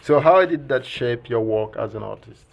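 A man's voice: medium pitch, normal speed, vocal fry, slight background noise. A man who is a PhD student hosting a podcast interview, asking questions hesitantly with many fillers.

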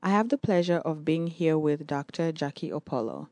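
A woman's voice: sits low, animated, normal speed, warm tone, no echo. A young adult woman hosting an interview, asking polite, brief questions. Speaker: interviewer, host.

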